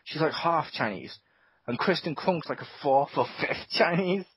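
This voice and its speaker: Animated jokey man, husky. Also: on the high side, moderate pace. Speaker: podcast host. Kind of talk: casual chat. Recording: dull lo-fi dry sound.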